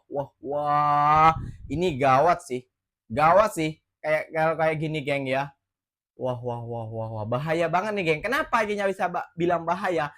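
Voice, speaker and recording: A man's voice: sits high, fairly bright tone. Chatty man hosting a reaction vlog. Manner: chatty, keeps tagging "geng", religious greetings. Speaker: reaction video host. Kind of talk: casual reaction vlog talk.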